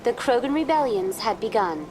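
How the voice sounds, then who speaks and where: Woman as a high-voiced, courteous virtual tour guide program. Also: animated, background noise, natural voice. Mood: politely informative. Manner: formal, measured, courteous and impersonal. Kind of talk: scripted informational narration in a video game.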